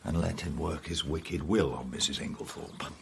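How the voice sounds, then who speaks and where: An actor playing a blunt, dryly good-humoured English police inspector in a radio drama, with an English accent and a husky voice. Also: male voice, rumbling low, normal speed, background noise. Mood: musing, sceptical. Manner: blunt, dry.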